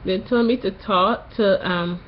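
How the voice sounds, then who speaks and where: Young woman, post-surgery sore throat, slow halting delivery, slightly nasal, muffled recording. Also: medium pitch, slight background noise. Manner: slow, halting, pauses often. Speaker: vlogger. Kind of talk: casual vlog to camera.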